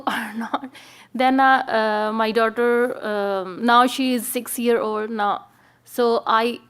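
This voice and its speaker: Slow, clear-voiced Indian-accented woman. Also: fairly high pitch. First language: Hindi and Punjabi. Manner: halting, simple non-native English. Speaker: panelist. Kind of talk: personal account on a panel.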